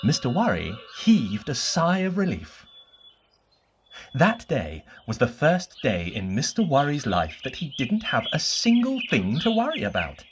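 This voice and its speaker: A storyteller narrating a children's bedtime story in an expressive, scripted reading style. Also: medium pitch, unhurried, noisy background.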